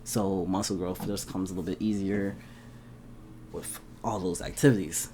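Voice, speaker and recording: A young man chatting casually to his camera at home, laughing often and getting animated at times. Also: low voice, moderate pace, slight background noise. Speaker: vlogger. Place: indoors at home.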